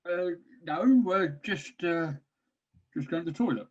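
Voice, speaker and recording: An evasive but determined orc captain, played by a man, in a fantasy comedy table read. His voice sits a little high, and the recording is dull and muffled. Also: expressive, a little slow, darkish tone. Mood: sheepish, evasive.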